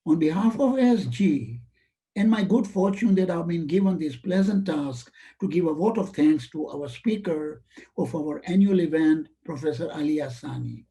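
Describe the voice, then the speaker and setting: Formal man with a bright voice. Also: a little high, expressive, normal speed, low-quality recording. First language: Swahili. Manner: formal, ceremonious. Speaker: vice chair giving vote of thanks. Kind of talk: formal vote of thanks speech. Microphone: webinar call.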